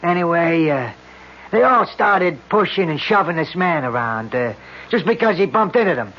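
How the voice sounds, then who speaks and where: An actor playing a plain-spoken working-class street eyewitness in a radio drama, talking in a halting, rambling, colloquial way. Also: male voice, on the high side, expressive. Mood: flustered, earnest. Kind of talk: 1970s radio drama acting. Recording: dull old radio broadcast recording.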